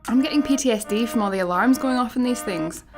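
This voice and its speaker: A woman's voice: a little high, fast talker, over music, natural voice. A woman playing an exasperated, conversational space traveller in a sci-fi comedy radio play.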